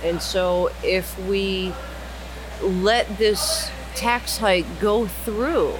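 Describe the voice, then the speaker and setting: A woman's voice: mid-range voice, very slow. Woman podcast host, earnest persuader; noisy lounge recording with vehicles passing. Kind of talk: casual roundtable chat. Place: cigar lounge.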